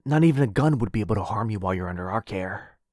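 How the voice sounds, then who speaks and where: A man playing a needy, wounded yandere vampire brother in a boyfriend roleplay audio drama, halting and intense. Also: fairly low pitch, animated, a little mellow, dry recording, clean studio sound, close to an everyday voice. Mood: protective boasting.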